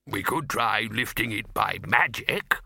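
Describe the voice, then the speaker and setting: A man voicing a measured male wizard chairing the group, hesitant and reasoning. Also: fairly low pitch, animated, creaky, pushed and bright, husky, no echo, studio quality, full character voice. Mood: puzzled.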